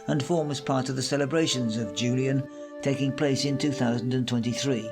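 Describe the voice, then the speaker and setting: A man reading a scripted podcast introduction in an even, read-aloud delivery. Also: mid-range voice, moderate pace. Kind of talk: scripted narration. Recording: loud music behind. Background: loud instrumental music.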